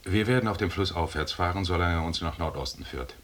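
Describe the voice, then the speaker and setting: An actor playing a stern, authoritative British expedition leader who speaks curtly and lectures in a German radio drama. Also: male voice, low voice, quick pace, a bit bright, slight background noise. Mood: brisk, firm.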